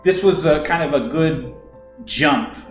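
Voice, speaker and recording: A man's voice: on the high side, measured pace. A man co-hosting an English-teaching livestream, chatting casually and rambling with many fillers and restarts. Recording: roomy echo with faint music.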